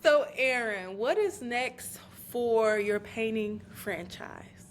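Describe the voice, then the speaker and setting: A woman from Chicago with an American accent hosting a casual podcast interview in animated, slangy, girlfriend-style talk. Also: on the high side, slow. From Chicago, United States. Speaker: podcast host. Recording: clear background noise.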